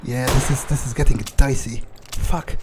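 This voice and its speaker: A man giving in-character game commentary, muttering his thoughts aloud in a halting, sweary, wryly understated way. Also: medium pitch, fairly even intonation, heavy background noise. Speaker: video game player narrating in character. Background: game music.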